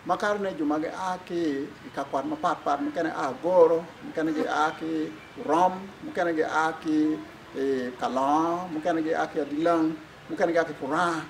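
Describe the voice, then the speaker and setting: Older Ugandan man, higher-pitched voice. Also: steady tone, moderate pace. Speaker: church official, diocesan secretary. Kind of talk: explaining to camera in an interview. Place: outdoors in front of a building. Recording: clear background noise, outdoor ambience.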